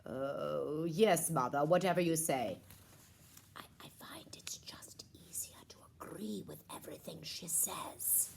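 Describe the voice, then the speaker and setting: A woman acts out a resigned young prince in a puppet show. His voice sits low and deadpan. He placates meekly and mutters his asides. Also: a little slow, creaky, slight background noise.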